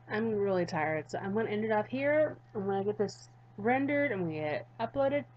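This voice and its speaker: A woman with a medium-pitched voice hosts a gaming video. She reads visual novel narration aloud and talks casually to viewers. The sound is a little dull and lo-fi. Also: expressive, moderate pace. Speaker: gaming YouTuber.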